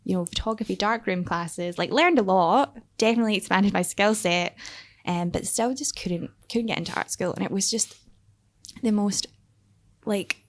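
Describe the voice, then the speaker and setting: A woman's voice: medium pitch, moderate pace. Chatty Scottish woman, laughing often. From Scotland. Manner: laughs often, many fillers and restarts. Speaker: startup founder and guest. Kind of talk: casual podcast interview.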